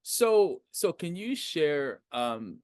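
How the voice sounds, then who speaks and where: A man in his fifties from the United States, hosting a faith podcast and talking in an animated, conversational way with plenty of fillers and restarts. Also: a little high, extremely slow, clean tone, no room sound, clean studio sound. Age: 50s. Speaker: podcast host. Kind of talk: casual interview.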